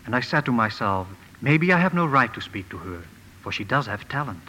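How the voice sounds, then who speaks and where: An actor playing a kindly, earnest professor in a 1950s radio drama, speaking gently and formally with deliberate phrasing. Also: male voice, mid-range voice, sing-song, lo-fi sound, slight background noise. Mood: tender, fervent. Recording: old radio broadcast, reverberant, music behind.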